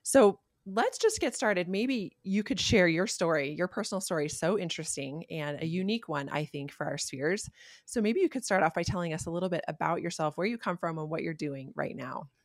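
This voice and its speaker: A woman's voice: lowish voice, expressive, brisk, dry recording, clean studio sound. A woman hosting a faith podcast, giving an earnest, reflective intro and then interviewing her guest. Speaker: podcast host.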